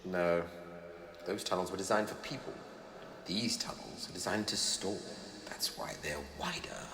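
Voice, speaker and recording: A man's voice: deep voice, measured pace, sharp tone, a little hiss or hum, exaggerated voice. An actor playing a wry, secretive British intelligence man with clipped, jovial, old-fashioned phrasing in an audio drama. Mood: wry, brisk. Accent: British English.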